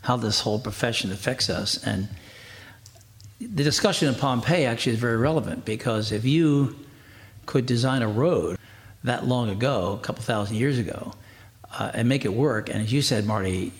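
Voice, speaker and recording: A man hosting an interview, chatty and quick to laugh, asking his guests leading questions. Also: medium pitch. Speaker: radio interview host. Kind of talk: conversational interview.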